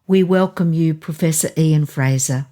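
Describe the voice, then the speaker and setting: Lady host, slightly low voice, reading the intro then chatting with her guest. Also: normal speed, mellow. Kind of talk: interview hosting, reading an introduction.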